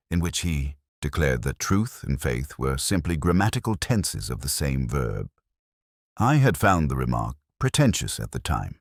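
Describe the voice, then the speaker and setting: A man voicing a weary, melancholy older English detective, narrating a literary mystery in a measured, wry, brooding way. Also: low voice, no room sound, clean studio sound, full character voice.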